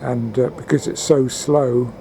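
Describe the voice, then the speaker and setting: A man giving a halting, matter-of-fact commentary on a field experiment, recorded outdoors in a garden. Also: mid-range voice, fairly even intonation. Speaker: amateur radio experimenter. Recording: outdoor wind and ambient noise.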